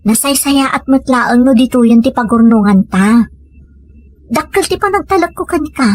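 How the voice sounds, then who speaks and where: A woman's voice: high-pitched, normal speed, a little mellow. A woman with a Filipino accent acting a plain-spoken, emotional woman of a rural family in an audio comedy drama. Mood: hopeful, tender.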